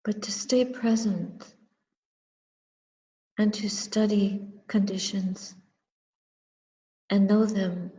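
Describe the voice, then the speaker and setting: A woman leads a meditative dharma reflection at an extremely slow pace. Her voice is dark and soft-edged, and she speaks in short, measured phrases separated by long pauses. The recording carries a long, hall-like reverb. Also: medium pitch, fairly even intonation, lo-fi sound. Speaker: Buddhist nun and meditation teacher. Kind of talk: guided meditation and dharma talk.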